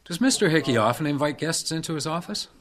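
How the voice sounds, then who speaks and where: A man playing a dogged, polite hotel detective in a radio drama, measured and dry in questioning and narration. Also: on the high side, expressive, fairly bright tone. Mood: probing. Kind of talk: radio drama dialogue and first-person noir narration.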